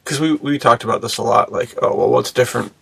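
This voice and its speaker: A man's voice: medium pitch, fast, creaky, fairly bright tone, slightly rough, dry recording. A man hosting a podcast in casual chat, talking in a laid-back, slangy way with lots of "dude". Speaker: podcast host.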